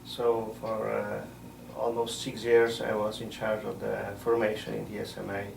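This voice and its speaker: A middle-aged man, a missionary priest answering interview questions in a measured way, often pausing to find words. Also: on the low side, monotone, gravelly, a little hiss or hum. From Poland. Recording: roomy sound from a distant mic.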